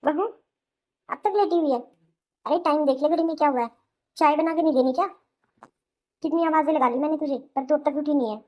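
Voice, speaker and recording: A woman's voice: sits high, fairly quick, pauses often, dull audio, lightly acted. A woman voicing a nagging, sarcastic middle-aged mother-in-law in a melodramatic cartoon. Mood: scolding, irritated. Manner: sarcastic, accusing, hectoring. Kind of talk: melodramatic Hindi cartoon voice acting.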